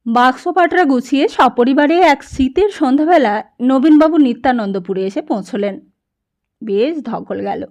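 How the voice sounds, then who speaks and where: A woman with a fairly high voice narrates an audiobook story. Her delivery is expressive and scripted, in a storytelling style. The recording sounds a little dull and lo-fi.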